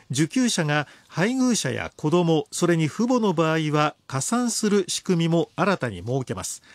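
Higher-voiced male newsreader, dry sound. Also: no room sound. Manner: formal, measured. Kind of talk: scripted news reading.

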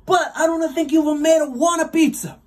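A man's voice: very high-pitched, fairly even intonation, a little fast, far from the mic. A man with an Italian accent giving a boastful, theatrical wrestling promo as a pizza-maker character, adding extra vowels to words. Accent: Italian-accented English.

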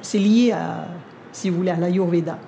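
An older woman whose first language is Vietnamese, speaking in a sit-down interview in a living room, measured and thoughtful with frequent pauses. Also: lowish voice, vocal fry, background noise. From India. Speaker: cookbook author.

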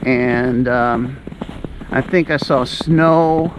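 A man's voice: medium pitch, steady tone, drawn-out words. A middle-aged man hosting a casual walking vlog, chatting as he goes along a trail outdoors. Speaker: vlog host on a hike. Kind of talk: casual chat while walking. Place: outdoors on a snowy woodland trail. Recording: noisy outdoor recording, walking on snowy trail.

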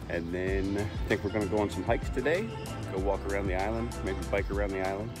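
A man's voice: lowish voice, fast talker, music in the background. A middle-aged man chatting upbeat to a phone camera at arm's length outdoors, hosting a casual RV travel vlog. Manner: upbeat, easygoing narration to camera. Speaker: RV travel vlogger. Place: outdoors on an island, streets, patios and trails.

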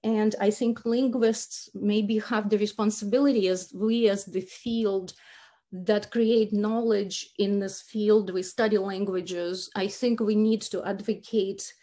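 Earnest woman, halting. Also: medium pitch, fairly even intonation, dark tone. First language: Russian. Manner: earnest, halting, with many restarts. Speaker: linguistics speaker. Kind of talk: academic talk over slides.

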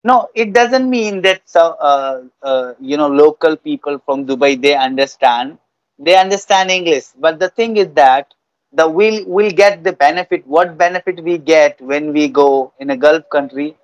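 A man's voice: a little high, moderate pace, no room sound, muffled recording. A young Indian-accented man, an English trainer in conversational speaking practice, who repeats phrases for emphasis on a video call. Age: young adult.

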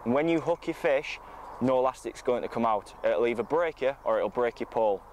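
A man's voice: medium pitch, brisk, darkish tone. A man, giving an informal, matter-of-fact tutorial to camera as a coach. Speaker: fishing coach presenting a tutorial. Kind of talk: informal instructional talk to camera. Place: outdoors on a lakeside bank. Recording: outdoor ambient noise.

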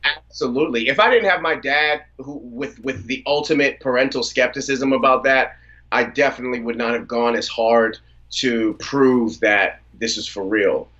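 A man in his thirties talks over a video call, relaxed and chatty, easily slipping into laughter as he tells his stories. His voice sits in a middle range, and the call audio sounds a little dull and lo-fi. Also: mid-range voice, normal speed. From United States, Washington DC area. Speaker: guest rapper. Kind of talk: casual interview on a video call. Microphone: video call from a phone or laptop.

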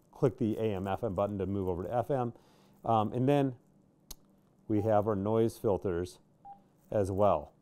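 An American man, around his thirties, giving a casual product demonstration in an informal, halting way with pauses. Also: fairly low pitch, mellow. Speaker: product review presenter. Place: small indoor workshop studio.

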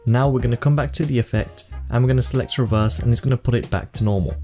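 A young man hosting a video tutorial, explaining software steps one by one into a close microphone. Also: medium pitch, quick pace, soft background music. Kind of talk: step-by-step software tutorial narration.

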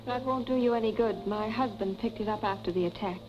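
An actress playing a poised, well-to-do young wife with composed, genteel diction in 1940s film style. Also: female voice, mid-range voice, brisk, background noise, lightly acted. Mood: composed, worried. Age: young adult. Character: poised, self-possessed wealthy young wife, soothing then uneasy. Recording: dull 1940s film soundtrack with music.

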